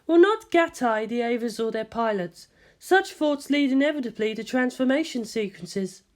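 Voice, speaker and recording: A cool senior woman scientist acted by a female reader, high in pitch and measured in delivery. She lectures dryly, like a world-weary anime mentor guiding her junior. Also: animated, close to an everyday voice. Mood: dry chiding. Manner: measured, didactic, dry. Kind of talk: anime fan fiction character voice.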